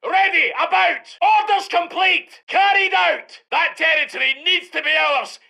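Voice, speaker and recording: British heavy tank commander for a strategy game: gruff, commanding, gravelly, sharp and forceful barks. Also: male voice, extremely high pitch, measured pace, exaggerated voice. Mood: brisk, commanding. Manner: barking short orders forcefully. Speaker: game voice actor. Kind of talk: shouted military game barks.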